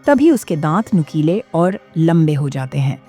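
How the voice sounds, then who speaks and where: A woman's voice: low voice, sing-song, unhurried, dark tone, slightly rough, soft background music. A woman narrating a horror story from a script.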